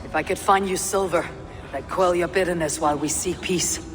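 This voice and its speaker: An actress playing a steady, diplomatic Viking warrior in a video game, measured and direct. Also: female voice, on the low side, background noise, close to an everyday voice. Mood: persuasive. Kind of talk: dramatic video game acting. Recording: long hall reverb, music underneath.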